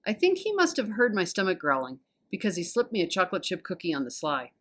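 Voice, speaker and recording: An American woman narrates a children's novel in an expressive read-aloud style. Her voice is a little low, and her pitch rises and falls in a very lively way. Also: quick pace. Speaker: volunteer storybook reader.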